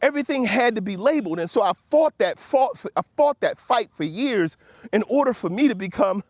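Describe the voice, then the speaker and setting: High-pitched, animated American man in his fifties. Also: fairly quick, dry recording. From Washington, D.C., United States. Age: 50s. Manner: emphatic, preacherly repetition with rhetorical questions. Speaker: host of a motivational talk show. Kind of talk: motivational monologue to followers.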